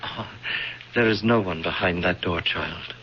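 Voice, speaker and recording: An actor playing a merciless high priest in a radio drama, mocking and condescending in a theatrical manner. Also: male voice, a little slow. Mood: falsely gentle. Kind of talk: 1970s radio drama acting. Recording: muffled, noisy old radio recording.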